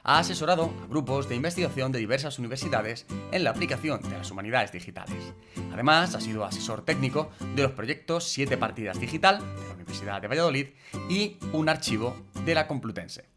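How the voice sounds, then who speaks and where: A man's voice: mid-range voice, lively intonation. A man hosting the channel, formally introducing a guest and asking questions. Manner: measured, formal delivery. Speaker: interviewer host. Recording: guitar music behind voice. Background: guitar music.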